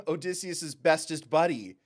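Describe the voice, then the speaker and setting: A man hosting a reaction series, giving casual, excited commentary with playful, expressive asides that often cut into his own thoughts. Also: fairly high pitch.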